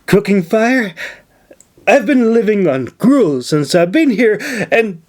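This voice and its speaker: A man voicing a crafty young mage woman who plays up her distress, talking in a raised, breathless, tearful way. A slow-paced storybook reading. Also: high voice, darkish tone, pitched above the performer's own voice. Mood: pleading. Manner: weepy, breathless, pleading. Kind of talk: audiobook character voice.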